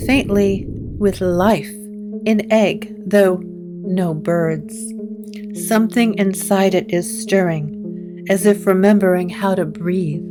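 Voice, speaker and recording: A woman narrating a scripted gothic audio drama in a measured, solemn, atmospheric manner. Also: mid-range voice.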